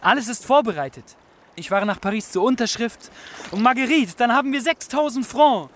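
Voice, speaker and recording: A man's voice: extremely high pitch, a little fast, a bit bright. An actor playing an ardent, naive young man devoted to his lover in a German radio play, earnest and effusive. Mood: elated, eager.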